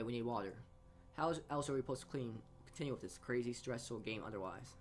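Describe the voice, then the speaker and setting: A man reading a story game aloud in informal narration, often stumbling and going back over his words. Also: mid-range voice. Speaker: player reading a visual novel aloud.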